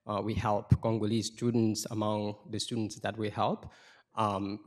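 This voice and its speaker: A man from Guinea, a lawyer, asking a long, measured question into a handheld microphone, with many fillers along the way. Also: lowish voice. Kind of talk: audience question at a lecture. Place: lecture room, seated in the audience.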